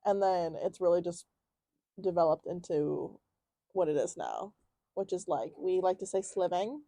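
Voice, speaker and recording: Young woman, podcast co-host. Loud, slangy casual talker, low warm voice, dry recording. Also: measured pace, dry recording. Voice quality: loud projecting voice. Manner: chatty, laughs often, lots of "like" and slang. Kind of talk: casual chat.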